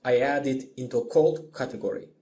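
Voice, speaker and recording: A man giving a scripted lecture as a voice-over, in a measured, explanatory manner. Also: mid-range voice, mellow. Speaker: music lecturer.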